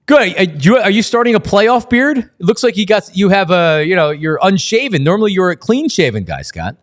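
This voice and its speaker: A man's voice: high voice, big pitch swings, brisk. A man hosting a casual sports talk interview, talking in wordy, rambling questions with false starts. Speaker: sports show host.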